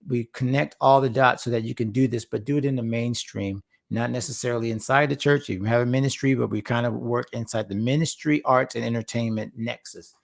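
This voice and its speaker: Slightly hoarse, gravelly-voiced male host. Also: mid-range voice, steady tone, fairly quick, few pauses. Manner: earnest, repeats words for emphasis, rambling asides. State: slightly hoarse after a long session. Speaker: host and founder of a faith-focused creative platform. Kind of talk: casual livestream talk to viewers.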